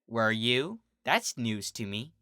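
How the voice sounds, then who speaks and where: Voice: mid-range voice, very animated, slow, sharp tone, no room sound, clean studio sound, exaggerated voice. A fan reader voicing a bubbly female earth pony who turns sly and probing, with a sing-song, teasing delivery.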